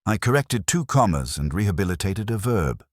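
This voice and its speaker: A man voicing a prim, composed older woman with dry wit and precise, measured, formal speech in a radio mystery. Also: fairly low pitch, very animated, no room sound, voice pushed up. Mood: dry, guarded. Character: composed, dryly witty, prim older woman, a music-loving typist.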